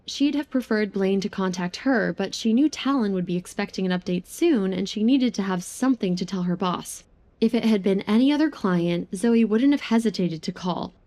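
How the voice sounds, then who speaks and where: A woman's voice: mid-range voice, dark tone, no echo. A woman giving scripted, dramatic narration of a story.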